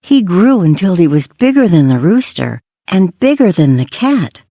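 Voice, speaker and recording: A woman's voice: lowish voice, very animated, normal speed, warm tone, dry recording, studio quality. A woman reading a scripted fairy tale as a children's story narrator.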